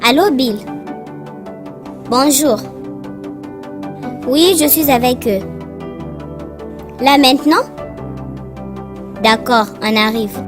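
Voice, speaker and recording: A woman's voice: high-pitched, extremely slow, long pauses. A woman playing the directive young leader of a gang of kids in a cartoon. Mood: concerned. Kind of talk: animated cartoon dialogue in French. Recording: loud music bed behind voice.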